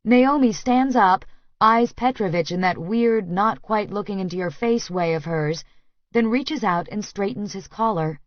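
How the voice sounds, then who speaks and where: A woman's voice: mid-range voice, unhurried, dry recording, dull audio. A woman reading first-person fiction as a scripted audiobook narration.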